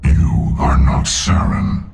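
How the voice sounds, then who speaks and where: A man voicing an ancient, contemptuous machine intelligence in a video game, speaking in an imperious, condescending rumble with booming synthetic processing. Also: takes it slowly. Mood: cold contempt. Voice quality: very deep, gravelly rumble. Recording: loud music behind.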